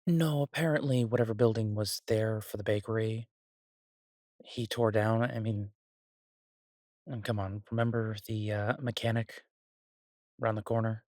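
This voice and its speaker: A man playing an earnest, easygoing chef in a roleplay audio drama, talking haltingly and reassuringly to a partner. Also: lowish voice, steady tone, darkish tone, no echo, clean studio sound, natural voice. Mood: casual, explaining. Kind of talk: roleplay audio drama, conversational monologue to listener. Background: ambient sound effects.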